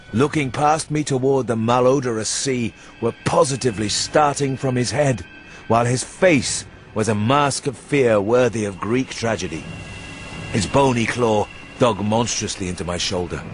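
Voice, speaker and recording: A man reading literary horror narration for radio in a measured storytelling manner. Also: medium pitch. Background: music.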